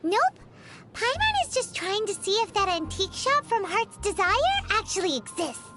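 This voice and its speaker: A woman voicing a small, excitable, naive childlike fairy companion in a video game, with a squeaky voice and cute exaggerated delivery. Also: normal speed, heavily acted. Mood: eager, curious. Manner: speaks of self in third person, exaggerated cute delivery. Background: music.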